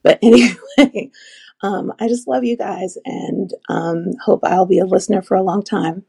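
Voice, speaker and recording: A woman's voice: medium pitch, steady tone, normal speed, vocal fry, dark tone, husky. A woman leaving a casual, chatty, self-deprecating message as a listener, her voice a little scratchy from allergies. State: allergies affecting voice. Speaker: podcast listener sending a voice message.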